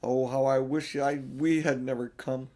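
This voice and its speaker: A man's voice: medium pitch, fairly even intonation, moderate pace, dark tone, lightly acted. A man voicing worried, questioning children in a storybook, with an earnest, exclamatory delivery. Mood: dismayed. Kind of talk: storybook character voices.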